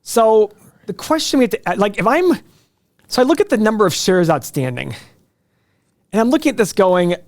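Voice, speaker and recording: Male finance host, high-register voice, conversational live commentary that speaks straight to the audience. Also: very animated, normal speed, pauses often. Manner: conversational, explains by addressing viewers directly. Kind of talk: live stock earnings commentary.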